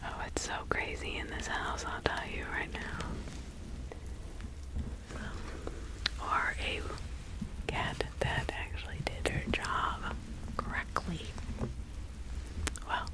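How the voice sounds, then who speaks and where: Kindly, fussy 1600s witch healer played by a woman, ASMR roleplay, whispered, unhurried, soothing and rambling. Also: close to an everyday voice, low-quality recording. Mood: distracted muttering. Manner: soothing, rambling, muttering asides.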